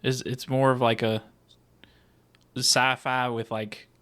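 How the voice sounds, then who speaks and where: A man with a mid-pitched voice co-hosts a relaxed movie podcast. He keeps the conversation moving and reads review excerpts in an easy, unhurried way. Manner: laid-back, steers the talk and reads critics' quotes aloud. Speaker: podcast co-host. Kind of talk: casual movie podcast chat, reading review quotes.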